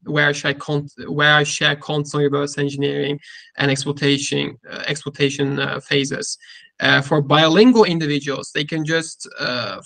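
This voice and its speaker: A man with a Turkish accent hosting a casual technical interview, speaking haltingly and often repeating and rephrasing his words. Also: a little high, pushed and bright, dry recording. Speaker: interviewer and cybersecurity content creator.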